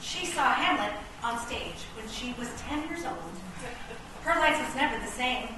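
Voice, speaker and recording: A woman's voice: high-pitched, lively intonation, unhurried, distant mic. A woman playing a curious, critical, sassy chess castle with a wry, theatrical delivery in a comic radio play. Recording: echoey, faintly noisy stage recording from afar.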